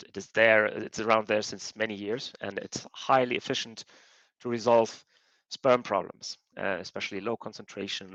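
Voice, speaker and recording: A man's voice: fairly low pitch, fairly bright tone, no echo, highs cut off. A man with a German accent, a fertility doctor giving a webinar talk, explaining steadily with many ums and restarts. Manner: explanatory, hesitant with many fillers. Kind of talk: webinar presentation.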